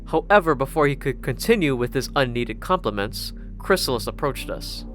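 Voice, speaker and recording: Animated male audio story narrator. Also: mid-range voice, normal speed. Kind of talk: first-person scripted narration. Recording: loud music bed underneath.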